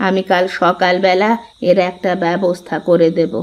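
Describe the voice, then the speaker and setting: A woman's voice: on the low side, flat delivery, normal speed, a little mellow, lo-fi sound, lightly acted. A woman as a patient, soothing old mother. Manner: soothing, advising, affectionate address. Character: gentle, patient old mother-in-law keeping the family peace. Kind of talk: cartoon story dubbing.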